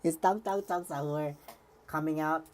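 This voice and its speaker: A woman's voice: sits low, fairly even intonation, brisk. A woman streamer giving rambling live commentary, full of fillers and restarts, with a slightly worn voice.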